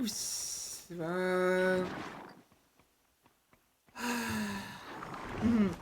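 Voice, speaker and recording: Soft-spoken woman with vocal fry. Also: mid-range voice, a little hiss or hum. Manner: soft, hesitant, self-reflective, many restarts. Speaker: VTuber streamer. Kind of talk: casual chat while livestreaming a game. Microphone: close desk mic.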